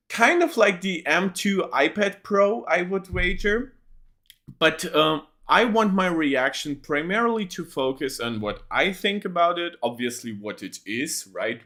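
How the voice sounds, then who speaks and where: A man's voice: medium pitch, a bit bright. A young man hosting a casual tech reaction livestream from a home setup on a desk mic, rambling with many ums and restarts.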